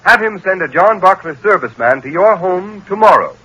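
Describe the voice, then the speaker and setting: A man's voice: high-pitched, lively intonation, fairly quick, very noisy. A man announcing from a script in a formal, emphatic 1930s radio style.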